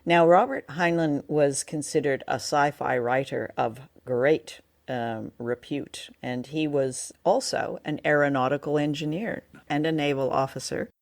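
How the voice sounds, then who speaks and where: A woman's voice: low voice, unhurried, few pauses. A woman co-hosting a podcast, chatting casually and explaining things with hesitant phrasing. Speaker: podcast co-host. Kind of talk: casual review chat.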